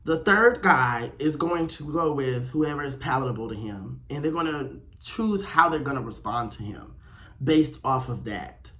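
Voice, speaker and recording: A man's voice: medium pitch, lively intonation, moderate pace, a bit bright. Young man, blunt casual commentary. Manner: blunt, opinionated, conversational with slang. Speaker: host of a personal video channel. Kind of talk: casual solo commentary, reading aloud and reacting.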